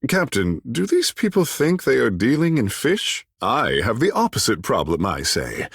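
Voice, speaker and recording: Flamboyant, theatrical island demigod, swooping delivery. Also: male voice, fairly low pitch, creaky, slightly rough, dry recording, studio quality, full character voice. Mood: wry, flirtatious. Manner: theatrical, lofty, courtly phrasing. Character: flamboyant, vain, charming godlike islander man, water shaper. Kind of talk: video game character dialogue.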